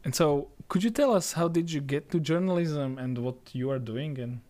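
A man co-hosting a podcast, chatting casually with many pauses and restarts. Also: fairly high pitch, darkish tone. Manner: hesitant, many fillers and restarts. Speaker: podcast co-host.

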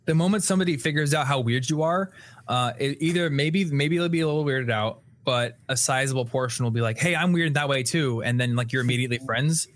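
A man's voice: medium pitch, brisk. A young man talks in a loose, candid way on a live stream, with the odd swear word thrown in. He has an ordinary mid-range voice and an easygoing manner. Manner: relaxed, candid, casual swearing. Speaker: podcast host. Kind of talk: casual live-stream chat.

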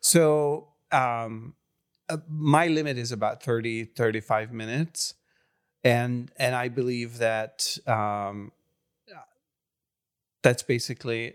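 A man from Serbia whose first language is Hungarian, a pastor speaking English in an interview, pausing often with fillers and restarts. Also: mid-range voice, smooth. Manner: halting, many fillers and restarts. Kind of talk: interview conversation.

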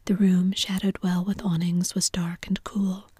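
A woman reads a novel aloud entirely in a whisper, unhurried and soft. Her breathy whisper sits low and mellow, right against the mic. The sound is dry, with no room around it. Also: flat delivery, dry recording. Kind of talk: whispered ASMR reading of a novel.

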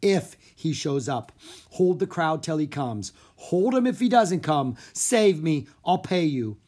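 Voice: mid-range voice, very animated, measured pace, darkish tone, pitched above the performer's own voice. A narrator voicing a melodramatic, despairing theater owner in an audiobook, wailing and pleading in an overwrought way. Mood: desperate pleading.

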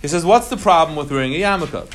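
Higher-voiced man teaching a religious class. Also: animated, fast talker, slight background noise. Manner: emphatic, explanatory, repeats key phrases; mixes Hebrew and Yiddish terms into English. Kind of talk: informal lecture to students.

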